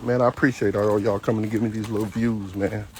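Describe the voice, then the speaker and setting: A man's voice: lowish voice, level tone, fast talker, darkish tone, slight background noise. A man in his fifties, a dog trainer, talking casually and bluntly while walking his dog on an outdoor trail. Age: 50s. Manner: talkative, blunt, folksy storytelling.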